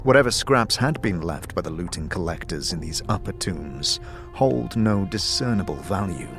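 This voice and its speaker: A man giving measured, atmospheric second-person narration of a fantasy story as game master. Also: low-pitched, expressive, music in the background.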